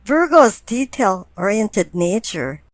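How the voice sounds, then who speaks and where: A woman giving a tarot card reading, explaining it deliberately, phrase by phrase. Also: mid-range voice, sing-song, no echo. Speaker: tarot reader.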